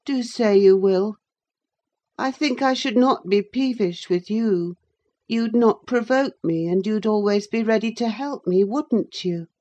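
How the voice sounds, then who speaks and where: A woman's voice: mid-range voice, measured pace, mellow, lo-fi sound, pitched above the performer's own voice, lightly acted. A woman voicing a sickly, spoiled boy in an audiobook, petulant, needy and spiteful. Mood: wheedling. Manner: petulant, wheedling. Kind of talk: dramatised audiobook reading of a classic novel.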